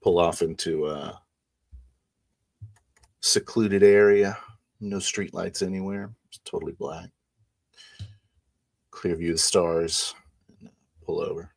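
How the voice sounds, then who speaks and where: Low-pitched man roleplaying casually. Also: steady tone, slow pace, creaky, long pauses. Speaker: player in a tabletop roleplaying game. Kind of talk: improvised in-character roleplay and first-person narration.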